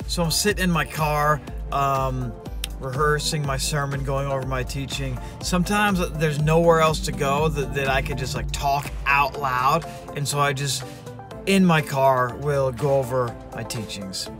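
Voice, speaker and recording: A man's voice: medium pitch, measured pace, music in the background. Chatty youth pastor in his forties. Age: 40s. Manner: relaxed, chatty, self-deprecating. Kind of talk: casual vlog narration.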